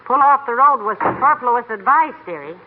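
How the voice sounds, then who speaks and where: An actress playing a wry, good-humored housewife in a 1940s radio comedy, folksy and teasing, on an old broadcast recording. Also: female voice, a little high, expressive, normal speed, phone-quality sound, over a noisy crowd, lightly acted.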